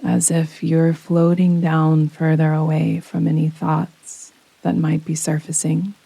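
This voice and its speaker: A woman gently guiding a yoga nidra relaxation meditation in a soothing, unhurried manner with long pauses. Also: low voice, monotone, gravelly, breathy edge, no room sound, rough recording. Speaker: meditation guide. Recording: close dry voice over rain sounds. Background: soft rain sounds.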